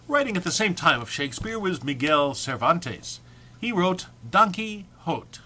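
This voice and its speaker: A man's voice: a little high, very animated, unhurried, pushed and bright. A man giving an amateur, theatrical dramatic reading of a humorous text, prone to amusement and stumbles. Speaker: amateur reader.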